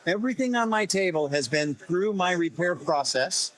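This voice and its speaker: A man with a slightly hoarse voice, explaining his vintage repair work conversationally in an interview, often restarting phrases. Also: high voice, lively intonation, moderate pace, a bit bright, rough recording. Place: busy festival exhibit table.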